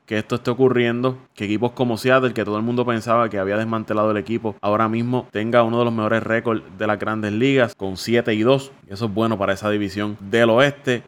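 A man with a Puerto Rican accent hosting a baseball talk show, talking at length with frequent "eh" fillers. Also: mid-range voice. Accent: Puerto Rican Spanish. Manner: discursive, many "eh" fillers. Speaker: sports show host.

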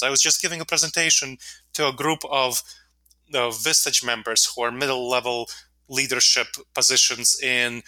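A man whose first language is Russian answers podcast questions in an earnest, explanatory way. His voice is very bright and forward. The recording is dry and close, with no room sound. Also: mid-range voice, dry recording, low-quality recording. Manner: earnest, explanatory, with frequent fillers. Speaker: author and podcast guest.

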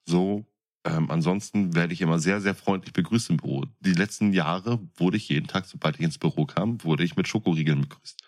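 A man's voice: sits low, fairly quick. A man co-hosting a casual podcast, speaking bluntly and often repeating words as he gets going. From northern Germany. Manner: blunt, opinionated, stumbling repetitions. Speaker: podcast co-host.

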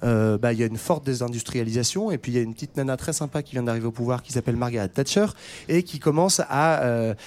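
A man talks through history for a podcast in an easy, conversational way. His voice sits at an ordinary pitch, and he backtracks and fills his pauses with hesitations as he explains. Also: a little mellow. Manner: explains at length with frequent fillers and restarts. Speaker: podcast co-host. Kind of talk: casual history discussion.

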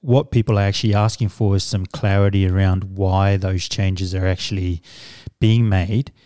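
A middle-aged man, a native Samoan speaker, answering interview questions in a measured, reflective way as a business coach. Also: deep voice, fairly even intonation, warm tone, no echo, clean studio sound. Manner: measured, reflective, with fillers and restarts.

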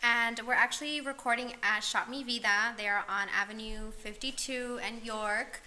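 Enthusiastic young woman podcast co-host, slightly high, bright tone. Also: fairly even intonation, moderate pace, rough recording. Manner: enthusiastic, gushing, laughs often. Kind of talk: casual podcast chat.

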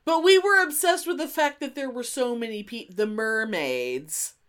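A woman chats as a podcast co-host, spinning a story in an informal, emphatic style. Her pitch moves around a great deal as she talks. She swears freely and leans hard on key words. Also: lively intonation, moderate pace, a bit bright. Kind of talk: casual reminiscing chat.

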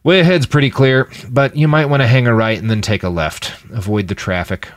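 A man's voice: mid-range voice, brisk, dry recording, clean studio sound, voice pushed up, close to an everyday voice. A man voicing a casual, battle-hardened fighter in a dystopian audiobook, with blunt, laconic, slangy speech. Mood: breezy.

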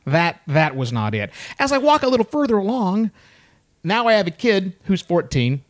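A man recounts a story in easygoing podcast chat. His pitch swings widely as he goes, and he slips into voices for the other people in it. The tone stays loose and conversational. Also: big pitch swings, normal speed. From United States.

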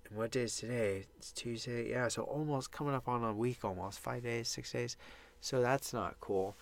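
A man's voice: mid-range voice, steady tone, normal speed, vocal fry. A man with a congested, stuffy nose hosts a podcast alone, talking in a casual, upbeat, rambling way in a small sound booth. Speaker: podcast host. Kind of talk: casual solo podcast monologue.

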